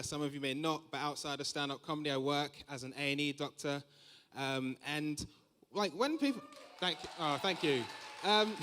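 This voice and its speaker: A man's voice: on the high side, a bit bright, smooth. A young man with a London accent doing live stand-up, telling observational anecdotes conversationally and acting out quoted remarks. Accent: London English. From Southeast London, England. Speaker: stand-up comedian. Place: large comedy venue, on stage. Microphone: stage microphone. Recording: reverberant hall, live stage microphone.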